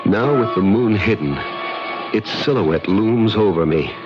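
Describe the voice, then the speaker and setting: An actor playing an earnest, brooding American man, narrating his pilgrimage in measured, dramatic radio style. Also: fairly low pitch, animated. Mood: awed, yearning. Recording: dull vintage radio recording, music behind.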